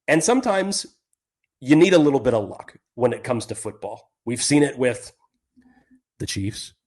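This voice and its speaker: A man co-hosting a sports podcast, measured and reflective with bursts of emphasis. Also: mid-range voice. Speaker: podcast co-host.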